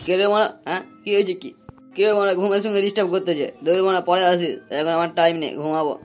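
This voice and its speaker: Man acting a quick, high-pitched, cowardly young villager. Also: little pitch movement, faint music behind, close to an everyday voice. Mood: sleepy, irritated. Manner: slangy, blustering, stammering repetitions. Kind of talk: amateur comic cartoon acting.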